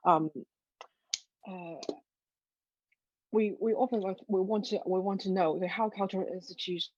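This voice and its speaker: A woman with a Hong Kong accent moderating a panel discussion, halting as she searches for words, on slightly dull audio. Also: mid-range voice, fairly even intonation, darkish tone, no room sound.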